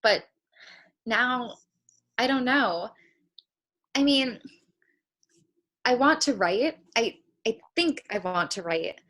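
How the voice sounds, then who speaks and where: A woman's voice: on the high side, animated, slow, long pauses, fairly bright tone, heavily acted. An actress playing a dreamy, hesitant young woman who rambles reflectively in a naturalistic play reading. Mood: musing, hopeful. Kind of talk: naturalistic stage drama read over video call.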